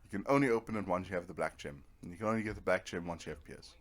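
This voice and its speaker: A man's voice: deep voice, racing. A man giving casual, rambling let's play commentary, thinking aloud as he goes. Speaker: gamer narrating own playthrough.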